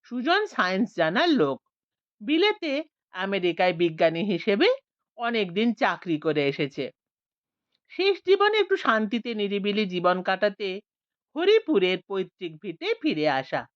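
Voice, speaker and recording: A woman narrating an audio story with an expressive, dramatic reading. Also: medium pitch, no room sound. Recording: dry, slightly muffled lo-fi.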